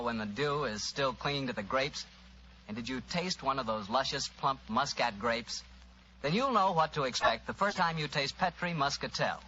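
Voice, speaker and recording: A man's voice: mid-range voice, sharp tone. An American man working as a 1940s radio announcer and host, with a folksy, enthusiastic salesman's manner. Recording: muffled old radio recording, faint noise.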